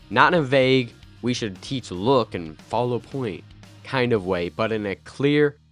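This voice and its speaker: A man in his thirties hosting a podcast, speaking with a raspy, nasal voice from a head cold, earnest with bursts of playful energy. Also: fairly low pitch. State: congested with a cold, stuffy and nasal. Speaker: podcast host. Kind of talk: scripted podcast monologue.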